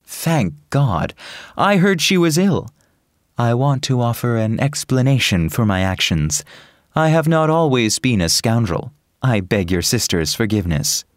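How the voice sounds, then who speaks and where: An actor playing a remorseful young gentleman scoundrel in an audiobook, pleading and confessing earnestly. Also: medium pitch, sing-song, a little slow, no echo, studio quality. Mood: relieved, contrite. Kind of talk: dramatised audiobook dialogue.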